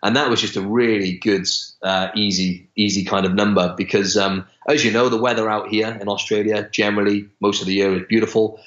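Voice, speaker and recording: A man's voice: fairly low pitch, steady tone, brisk, hardly any pauses, fairly bright tone. Young man in an echoey room. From Wales. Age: young adult. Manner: chatty, many restarts and fillers. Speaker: podcast guest. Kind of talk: casual interview chat. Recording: echoey room, slightly dull sound.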